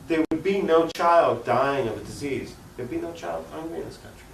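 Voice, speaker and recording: A man's voice: fairly high pitch, normal speed, vocal fry. A man speaking off the cuff as an activist interview guest, opinionated and emphatic, often posing rhetorical questions. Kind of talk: unscripted political argument in an interview. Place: room recording for a cable access show. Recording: echoey room, distant mic, faint noise.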